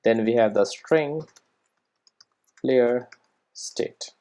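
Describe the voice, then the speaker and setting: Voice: medium pitch, very slow, warm tone. A presenter giving an informal programming tutorial, thinking aloud while working and often checking in with short rhetorical questions.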